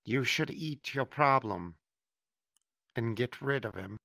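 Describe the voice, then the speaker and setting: A man's voice: mid-range voice, lively intonation, slow pace, gravelly, pushed and bright, no room sound, clean studio sound, exaggerated voice. A man playing a creepy cannibal therapist. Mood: sinister calm. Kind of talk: comedy skit acting.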